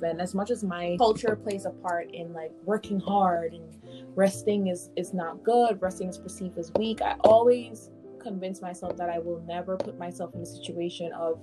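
A young woman from Guyana co-hosting a casual podcast chat, speaking in a relaxed, reflective way with many fillers. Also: fairly low pitch. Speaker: podcast co-host.

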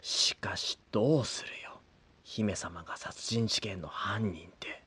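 A man playing a blunt, rough-spoken middle-aged adventurer in a comedic audio drama. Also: lowish voice, slow, pushed and bright, whispered, heavily acted. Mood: hushed dismay.

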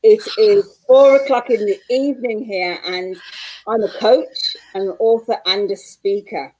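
A woman from England with a Mancunian accent, a guest coach on a remote-call podcast interview, warm and encouraging. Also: medium pitch, steady tone, a little slow, poor audio. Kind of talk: casual interview chat.

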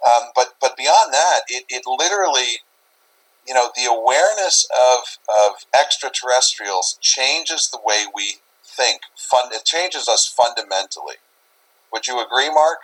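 A man's voice: mid-range voice, expressive, measured pace, fairly bright tone, breathy edge, low-quality recording. A man talking casually in an interview, rambling and circling back over his phrases as he explains. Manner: rambling, repeats phrases, often says "you know". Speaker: interview guest, paranormal investigator.

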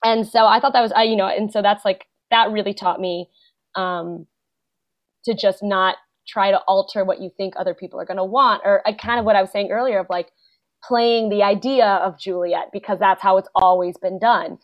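A young adult woman, a guest actor and playwright, chatting casually in an interview, full of fillers and quick laughs. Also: mid-range voice, fairly even intonation. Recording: dull lo-fi podcast audio.

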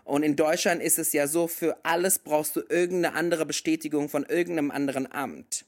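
A man's voice: fairly high pitch, steady tone, fairly quick. Chatty man switching into English. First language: German. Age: 30s. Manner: chatty, mixes German with English phrases. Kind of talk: casual conversation.

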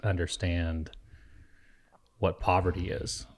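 A man hosting a podcast, an animated storyteller who laughs loudly in casual conversation. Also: low voice, takes it slowly, a little hiss or hum. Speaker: podcast host.

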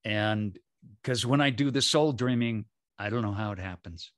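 A man speaking as a podcast guest in a calm, reflective way. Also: on the low side, moderate pace. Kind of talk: casual interview conversation.

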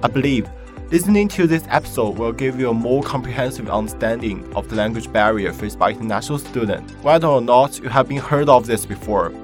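Young man, podcast host, scripted intro, measured reading, faint music behind. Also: mid-range voice.

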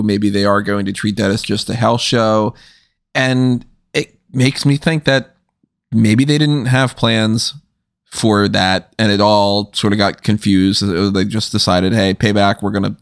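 A man co-hosting a casual podcast chat, talking with lively swoops up in pitch. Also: no echo, clean studio sound. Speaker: podcast co-host.

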